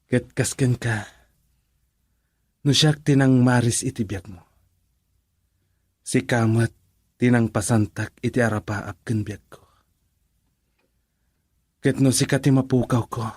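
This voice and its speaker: A young Ilocano suitor, earnest and lovestruck. His mid-pitched voice speaks in romantic, poetic phrases in radio drama style, with a close, dry sound. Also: fairly even intonation, takes it slowly, long pauses, a little mellow, husky, no room sound.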